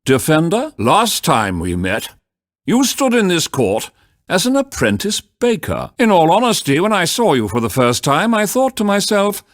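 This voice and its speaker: A man's voice: sits high, wildly swooping pitch, no echo, studio quality. A man voicing a stern, pompous elderly judge of a medieval witch trial in a theatrical video game style, formal and easily flustered. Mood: solemn, formal. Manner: formal, pompous, slow courtroom pronouncements, sputtering when surprised.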